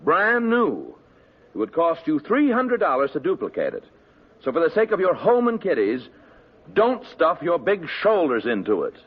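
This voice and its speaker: An actor playing a fussy, condescending wealthy male client in old radio drama style, with a husky voice. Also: on the high side, wildly swooping pitch, normal speed, a little hiss or hum. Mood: imperious, fussy. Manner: fussy, imperious, emphatic. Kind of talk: 1950s radio acting. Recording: muffled vintage radio recording.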